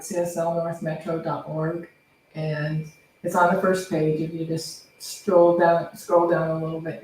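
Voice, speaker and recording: A woman speaking as a co-minister, giving casual announcements and prayer responses. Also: fairly low pitch. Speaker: minister co-leading a service. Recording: very echoey room, distant mic, faint noise.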